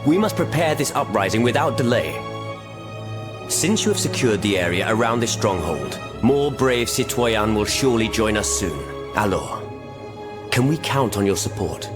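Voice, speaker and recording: A man's voice: fairly low pitch, lively intonation, normal speed, lightly acted. A man playing an earnest, courteous French revolutionary leader in a video game, formal and rallying in manner. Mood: earnest, determined. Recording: game dialogue over music.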